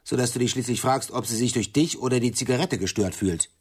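A man's voice: medium pitch, fairly quick, darkish tone, breathy edge, natural voice. An actor playing a proud, wounded man in a radio drama, speaking eloquently with biting, pointed precision. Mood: scornful, cutting. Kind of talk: stage-play dialogue in radio drama.

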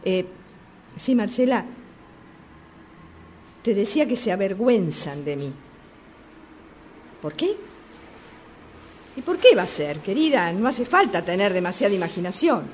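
A woman's voice: mid-range voice, sing-song, measured pace, pauses often, a little mellow. An actress playing a chatty, exasperated woman with a Rioplatense Argentine accent, gossiping and complaining in a radio play about a subway phone call. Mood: flustered. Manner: gossipy, exasperated, repeating herself. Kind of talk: radio theatre monologue acting. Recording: clearly noisy amateur workshop recording.

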